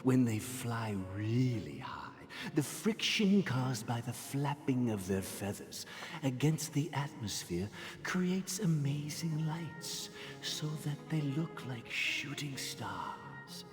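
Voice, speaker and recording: An actor playing a gentle, theatrical guardian figure talking to children in a measured, indulgent, storytelling way for a video game. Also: medium pitch, sing-song. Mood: indulgent, musing. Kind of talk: scripted video game voice acting. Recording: long hall reverb under clear music.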